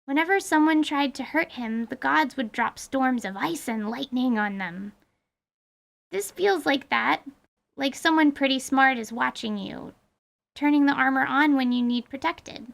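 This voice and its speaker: A woman's voice: sits high, measured pace, gravelly. A woman playing a blunt, curious young girl adventurer in a fantasy audio drama, with colloquial speech. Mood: curious, pondering.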